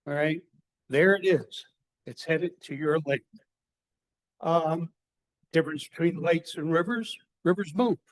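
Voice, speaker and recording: Halting older man giving a talk. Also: fairly high pitch, rough recording. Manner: folksy, halting, self-deprecating with slides. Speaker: guest speaker at a seminar. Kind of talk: casual conference presentation. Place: lecture hall. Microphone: handheld mic, held low at times.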